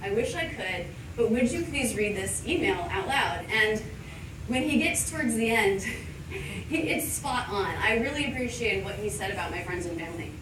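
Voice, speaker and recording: A woman who teaches English, giving a live thank-you speech to a party crowd, warm and laughing often. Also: mid-range voice, animated, normal speed. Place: large event space. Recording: roomy, far from the mic, noisy.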